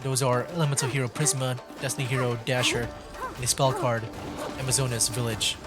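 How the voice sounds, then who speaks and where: A man's voice: medium pitch, level tone, brisk. A man giving casual live commentary as he streams a video game. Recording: game music behind voice. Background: video game music.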